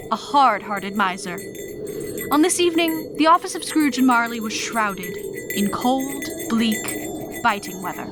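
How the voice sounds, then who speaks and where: A woman narrating a radio play as a dramatic, emphatic storyteller. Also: high voice. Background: music with chimes.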